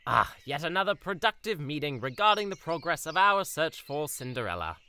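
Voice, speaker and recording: A man's voice: fairly high pitch, sing-song, a little fast, pushed and bright, faint music behind, heavily acted. A man playing a pompous, lilting fairytale prince. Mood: grandly confident. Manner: pompous, flowery old-fashioned formal speech. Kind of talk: audio drama acting.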